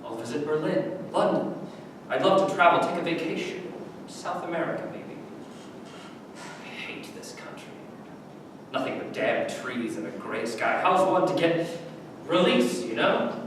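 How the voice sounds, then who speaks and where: An actor in a staged reading playing a menacing, bitter Nazi camp guard with a brooding, volatile way of talking. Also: male voice, lively intonation, a little slow. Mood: restless, bitter. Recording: echoey hall from far off, noisy rumble.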